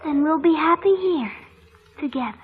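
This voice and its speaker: A woman's voice: sits high, animated, moderate pace. An actress playing an imperious, affectionate and fanciful little girl in an old radio drama. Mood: tender. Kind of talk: 1940s radio drama acting. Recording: muffled radio broadcast with music.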